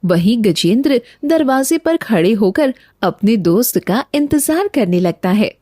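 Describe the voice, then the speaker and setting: Female storyteller for children's narration, high pitch, dry sound. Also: lively intonation, measured pace, mellow, no echo.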